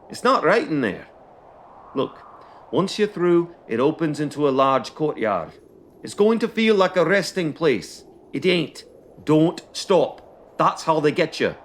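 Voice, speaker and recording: Gruff, blunt prison escort, acted by a man. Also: on the high side, slight background noise. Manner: commanding, colloquial, sweary, plain-spoken. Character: gruff, blunt male escort guard warning boys about prison. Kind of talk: audio drama acting.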